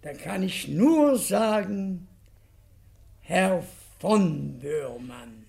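Creaky old-school aristocrat baron, high voice, courtly n evasive, radio comedy. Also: male voice, expressive, very slow, darkish tone, exaggerated voice. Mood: relieved, gracious. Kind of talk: classic German radio comedy acting.

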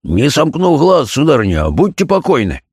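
A man playing a rough, loud, servile manservant in an audiobook story, recorded with a long reverb. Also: fairly high pitch, huge pitch swings, normal speed, studio quality, voice pushed up, exaggerated voice. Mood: urgent, dutiful.

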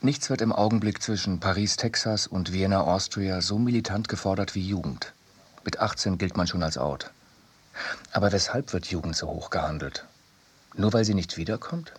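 Male radio reader: lower register, somewhat breathy, articulate literary narration. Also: moderate pace. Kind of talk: scripted literary essay reading.

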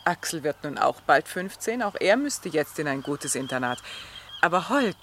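An actress playing a middle-aged countess and worried mother in a radio play, formal, measured and well-bred. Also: sits low, very animated, slight background noise. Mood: earnest, worried. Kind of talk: German radio play acting.

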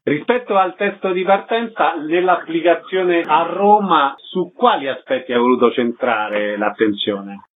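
A man's voice: on the high side, animated, unhurried. A man with an Italian accent working as a radio interviewer, putting prepared questions to a guest. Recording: dull, clearly noisy line with clicks.

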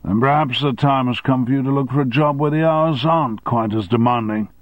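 A man playing a blustering, domineering, short-tempered male boss who shouts threats, on a dry, slightly dull recording. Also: mid-range voice, fairly quick, dry recording, voice pushed up, natural voice. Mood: angry.

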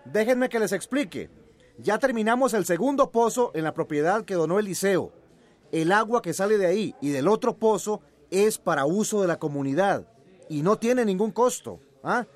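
An actor in a radio drama playing a passionate village farmer and community leader, emphatic and earnest. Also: male voice, high voice, expressive, normal speed. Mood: explaining. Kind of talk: Spanish-language radio drama acting.

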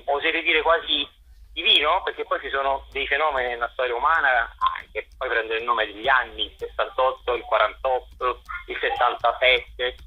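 A man being interviewed, speaking in a discursive, articulate way with hesitant pauses, like a guest explaining his work. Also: mid-range voice, steady tone, breathy edge. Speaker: stage director and actor, interview guest. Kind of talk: interview, promoting a theatre show. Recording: muffled lo-fi phone-style radio line.

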